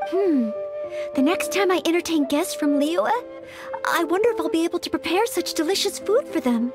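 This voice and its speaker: Anime game maid-knight: young, very high and lilting, courteous, earnest, easily anxious. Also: female voice, animated, moderate pace, music in the background. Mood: pleased, polite. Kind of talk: anime-style video game dialogue.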